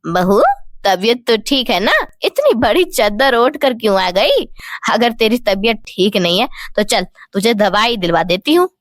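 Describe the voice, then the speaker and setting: A woman's voice: on the high side, expressive, a little slow, no room sound, studio quality, pitched below the performer's own voice. A woman voicing a caring older mother-in-law, the head of the household, in a cartoon story. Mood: concerned.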